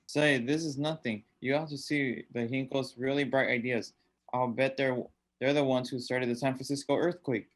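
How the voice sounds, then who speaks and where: A man's voice: mid-range voice, steady tone, moderate pace, close to an everyday voice. A man playing a wry, wisecracking, resigned husband in a radio drama, with a sardonic, joking delivery. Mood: teasing.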